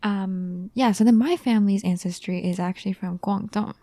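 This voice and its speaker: A young woman co-hosting a casual podcast chat, talking softly and often dropping to a near whisper. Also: mid-range voice, moderate pace, no echo. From family of Chinese ancestry raised in India. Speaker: podcast co-host.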